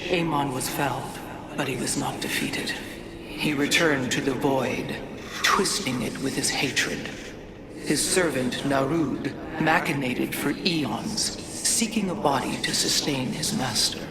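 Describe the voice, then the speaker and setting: A man voicing a solemn alien lore-keeper in a video game, measured and declamatory. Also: medium pitch, heavy background noise, close to an everyday voice. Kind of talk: video game cutscene acting. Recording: loud music behind the voice.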